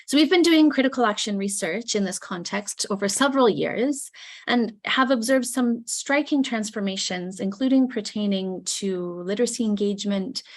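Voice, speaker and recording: Formal woman presenting on a video call. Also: medium pitch. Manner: formal, deliberate, carefully worded. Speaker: educator presenting a chapter. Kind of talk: prepared academic presentation.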